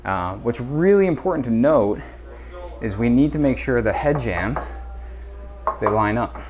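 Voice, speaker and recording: A man's voice: medium pitch, lively intonation, measured pace, crowd noise. A man walking through a renovation site with a head-mounted camera, casually explaining the construction details he points out. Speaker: builder giving a site tour. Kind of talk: walkthrough explanation on a job site. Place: indoor renovation site, bare rooms. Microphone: head-mounted action camera.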